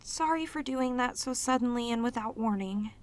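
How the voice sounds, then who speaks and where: A woman playing a sweet, clingy demoness in a romantic audio roleplay, speaking tenderly and haltingly with intense devotion. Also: on the high side, lightly acted. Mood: soothing, devoted. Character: sweet, devoted, clingy yandere demoness, long sealed away.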